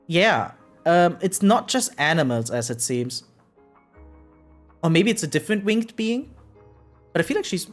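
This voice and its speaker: Streamer, 30s: mid-range voice, loose chatty live commentary that rambles, soft music underneath. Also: mid-range voice. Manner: rambling, keeps adding "right?". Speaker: variety game streamer. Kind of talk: casual live reaction commentary. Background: faint music.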